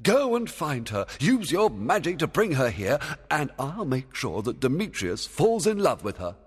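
A man voicing a commanding, excitable fairy king in a storybook, emphatic and theatrical. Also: fairly high pitch, measured pace, husky, big-room sound, pitched above the performer's own voice, heavily acted. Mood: angry, commanding. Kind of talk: storybook character voice.

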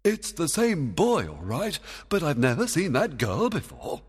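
A man voicing a commanding, excitable fairy king in a storybook, emphatic and theatrical. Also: a little high, unhurried, husky, in a large hall, pitched above the performer's own voice, heavily acted. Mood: puzzled. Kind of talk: storybook character voice.

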